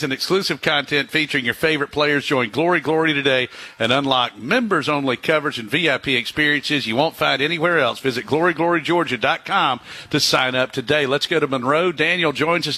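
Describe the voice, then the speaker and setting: A man's voice: a little high, bright, forceful tone, breathy edge. A man hosting a call-in sports radio show, folksy and upbeat, taking callers and chatting with co-hosts. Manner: folksy, upbeat, reads ad copy. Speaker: radio sports talk host.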